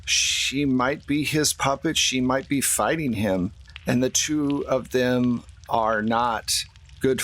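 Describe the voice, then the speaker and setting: A man voicing a worried, apologetic troll who gives grave, measured warnings in a tabletop game. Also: medium pitch, a bit bright, close to an everyday voice. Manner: measured, halting, explanatory.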